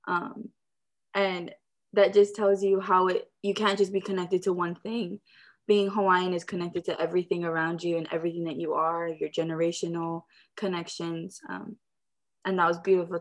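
A woman hosting a podcast interview, warmly summarizing her guest's words and thanking him before her next question. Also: lowish voice. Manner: appreciative, summarizing. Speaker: podcast host.

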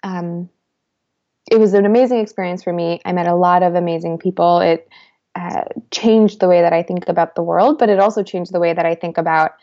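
A young woman talks in a reflective, conversational way. She hesitates often and restarts her sentences as she thinks aloud. Her voice sits slightly low, and the audio is dull and lo-fi. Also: fairly even intonation, fairly quick, mellow. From United States. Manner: thoughtful, hesitant, many fillers and restarts. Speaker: interview guest.

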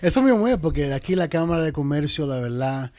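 A man's voice: fairly high pitch, expressive, fairly quick, darkish tone, no echo. A man with a Dominican Spanish accent chatting casually as a podcast guest, slipping English words into his talk. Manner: code-switches into English. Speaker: podcast co-host as interview guest. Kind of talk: casual chat.

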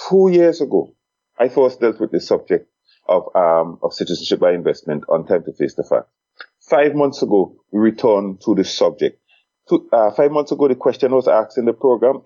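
A man's voice: fairly low pitch, dark tone. A man hosting a discussion program, speaking emphatically and stressing key points. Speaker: program host. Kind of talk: casual discussion. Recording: dull lo-fi sound.

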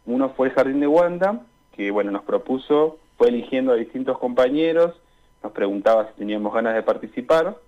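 A young man with an Argentine accent talks casually as an interview guest, often searching for words. His mid-pitched voice comes through a slightly muffled, lo-fi remote call. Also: animated, fairly quick. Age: young adult. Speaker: radio interview guest, student. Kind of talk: casual conversational interview answers. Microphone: remote call to a radio show. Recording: dull, lo-fi call audio.

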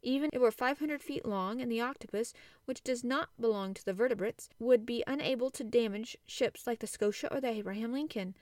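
A woman's voice: on the high side, fairly quick, a little mellow, no room sound, clean studio sound, voice pushed up, lightly acted. A woman voicing a learned male naturalist professor in a storybook reading, explaining patiently and earnestly. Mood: lecturing.

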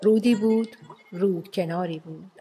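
A woman narrating a children's radio play in an animated storybook style. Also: fairly low pitch, slight background noise, natural voice. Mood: storytelling. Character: lively female storyteller of a fairy tale.

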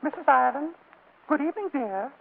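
A man's voice: very high-pitched, expressive, a little fast, vocal fry, exaggerated voice. A man voicing a prim, easily flustered lady with fluttery, genteel airs, in a 1940s radio comedy. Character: man disguised as his own prim, easily flustered sister. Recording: muffled old radio recording.

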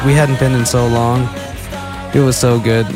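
A man's voice: medium pitch, flat delivery, normal speed, music in the background. A man in his late twenties with a Texas accent, rambling goofily through a casual monologue as a podcast host. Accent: Texan. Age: late 20s. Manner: goofy, rambling, self-interrupting.

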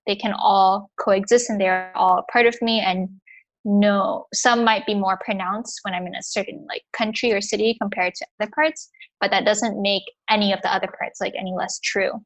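A young woman answering as a guest in an easygoing conversation. She thinks aloud as she goes, pausing often and filling the gaps with small words. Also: medium pitch. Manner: reflective, halting, many fillers. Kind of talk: casual interview chat.

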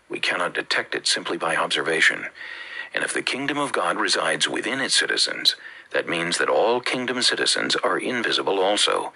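A man from the Bahamas with a Bahamian accent, reading a religious teaching with measured, emphatic phrasing. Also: low voice, vocal fry, bright, forceful tone, slightly rough, poor audio. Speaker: preacher. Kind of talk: read-aloud religious teaching.

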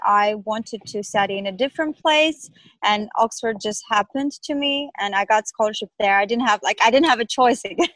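A woman's voice: on the high side, a bit bright, no echo, poor audio. A young woman doctor, not a native English speaker, answering interview questions in a thoughtful, hesitant way with many "like" fillers.

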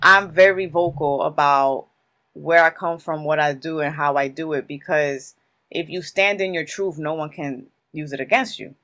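A guest in their thirties from Newark speaks, answering in long, emphatic stories full of casual street phrasing. The voice sits at a middle pitch, neither high nor low. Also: moderate pace, sharp tone. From Newark, New Jersey. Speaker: guest, creative in publishing.

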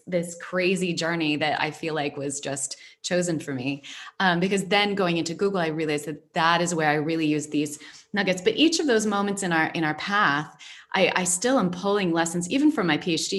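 A woman hosting a casual interview, reflective and storytelling, with frequent pauses. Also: fairly low pitch, fairly bright tone. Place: based in Spain.

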